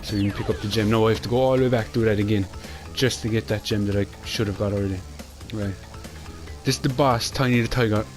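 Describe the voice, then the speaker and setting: A young Irish man chatting quickly and rambling while he plays through a platform game. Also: on the low side, steady tone. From Ireland. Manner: fast, rambling, chatty with Irish phrasing like "class". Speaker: gaming channel host. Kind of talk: casual gameplay commentary. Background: game music.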